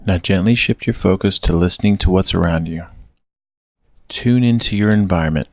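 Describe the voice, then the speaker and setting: A man narrating a guided meditation with measured, gentle instructions. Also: deep voice, no echo, studio quality.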